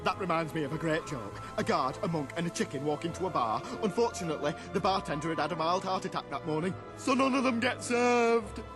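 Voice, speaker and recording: A man playing a chirpy fool in a game, telling corny jokes in quick comic patter. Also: a little high, expressive, lightly acted. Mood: jokey. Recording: music behind the voice.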